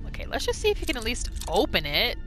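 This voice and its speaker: A woman hosting a gaming video, narrating a roleplay in an excited, conversational storytelling way. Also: fairly high pitch, fast. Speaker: host of a gaming video series. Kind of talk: lively narrated roleplay let's play. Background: background music.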